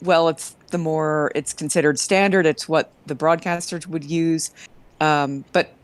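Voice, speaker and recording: Medium-pitched speaker explaining haltingly. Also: fairly even intonation, dry recording. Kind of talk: casual group chat.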